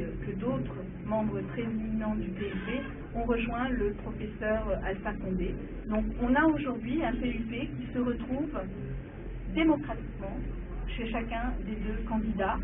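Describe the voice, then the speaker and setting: A woman, a guest on a call-in radio debate, speaking articulately with frequent hesitations. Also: on the high side. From France. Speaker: radio talk show guest. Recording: far-off tinny phone-like radio recording with noise.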